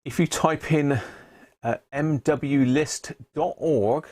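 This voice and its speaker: A man's voice: fairly high pitch, takes it slowly, darkish tone, dry recording, clean studio sound. A man hosting a casual live stream, explaining things informally and without hurry as he demonstrates his hobby.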